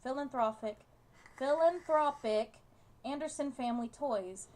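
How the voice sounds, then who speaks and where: A woman's voice: high-pitched, unhurried, darkish tone. A woman casually reading a visual novel's narration aloud, now and then stumbling over the written words. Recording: noticeable background noise.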